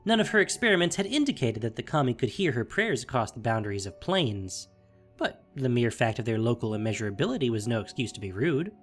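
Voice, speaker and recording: Highly expressive male story narrator. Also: quick pace. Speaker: audio drama narrator. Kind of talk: scripted dramatic fantasy story narration. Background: music.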